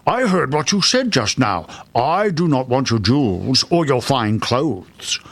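A man's voice: mid-range voice, sing-song, measured pace, dry recording. A man voicing a polite, earnest talking frog from a fairy tale, with courtly, pleading speech. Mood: earnest, bargaining.

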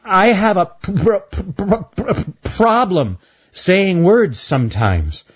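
A man's voice: a little high, big pitch swings, unhurried, breathy edge, voice pushed up, full character voice. A man voicing a shy young male squirrel who stutters hard on the first sounds of words. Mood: hurt, stammering.

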